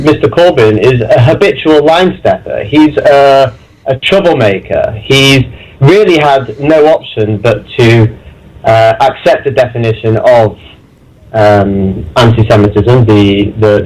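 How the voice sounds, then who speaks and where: A man's voice: medium pitch, unhurried, darkish tone, low-quality recording. A man from Leeds, calling in to a radio show and speaking emphatically and indignantly. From England (Leeds). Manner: emphatic, indignant, uses stock phrases. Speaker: caller. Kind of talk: phone-in call.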